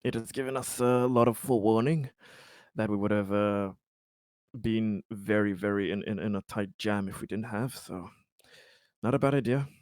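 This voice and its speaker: A man player speaking in character in a formal, halting way with frequent "uh". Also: fairly low pitch, darkish tone, dry recording, clean studio sound. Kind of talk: in-character tabletop roleplay.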